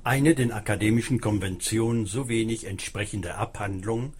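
A man's voice: fairly low pitch, normal speed, darkish tone, a little hiss or hum. A man reading a scripted narration for an audio documentary.

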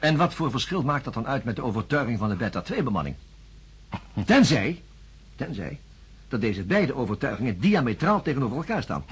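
An actor playing a courteous but persistent, shrewd psychiatrist in a radio drama, questioning politely. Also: male voice, mid-range voice, sing-song, husky, full character voice. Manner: polite, measured, insistent questioning. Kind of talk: science fiction radio drama acting.

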